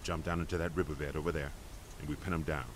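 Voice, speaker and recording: An actor playing a gruff, no-nonsense, terse squad leader in a video game. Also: male voice, a bass voice, flat delivery, fast talker, background noise. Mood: commanding. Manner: terse, commanding. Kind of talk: video game dialogue acting.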